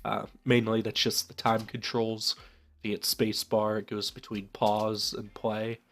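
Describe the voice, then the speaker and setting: A man's voice: fairly low pitch, flat delivery, unhurried. A young man with a stuffed-up, nasal voice from a cold, casually commenting on a game while thinking aloud with many fillers. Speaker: let's play host.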